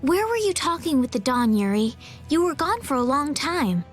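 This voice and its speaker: An earnest young lady from an animated game. Her voice is very high and lively in pitch, polite yet excitable, with music behind it. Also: normal speed. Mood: curious, concerned. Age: young adult. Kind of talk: animated video game voice acting. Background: background music.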